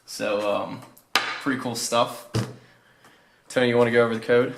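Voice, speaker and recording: A man's voice: mid-range voice, fairly even intonation, normal speed, vocal fry, background noise. Laid-back young man, informal and hesitant. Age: young adult. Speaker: student presenter. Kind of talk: informal project walkthrough.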